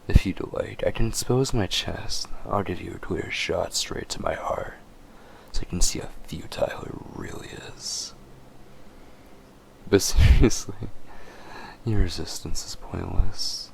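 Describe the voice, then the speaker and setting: A man's voice: low voice, creaky, a little hiss or hum, heavily acted. A man voicing a possessive, condescending vampire in a dramatic audio roleplay, with a smug, teasing manner. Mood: smug, taunting. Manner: slow, teasing, smug, often chuckling. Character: possessive, condescending male vampire, obsessed and menacing.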